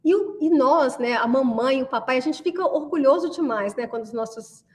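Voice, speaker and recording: A woman's voice: sits high, moderate pace, big-room sound. A woman in her thirties giving a friendly online class, chatty and often checking in with the listener. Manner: chatty, keeps asking "né?" to the viewer. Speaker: course presenter.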